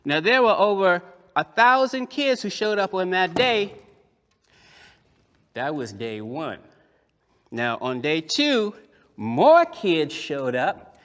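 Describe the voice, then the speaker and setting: A man performing a story for children as a puppeteer, in a dramatic, emphatic manner with repeated phrases and drawn-out words. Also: high-pitched, big pitch swings, fairly bright tone. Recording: echoey hall with long reverb.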